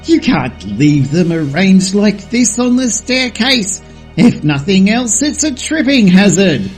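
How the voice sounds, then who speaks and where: A man playing an officious, exasperated palace guard in an audio drama, with a husky voice. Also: high voice, big pitch swings, takes it slowly, faint music behind, full character voice.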